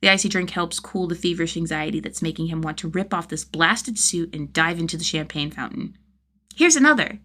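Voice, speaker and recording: Female narrator for fan fiction audiobook, low register, expressive storytelling. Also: a little fast, hardly any pauses. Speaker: podfic narrator.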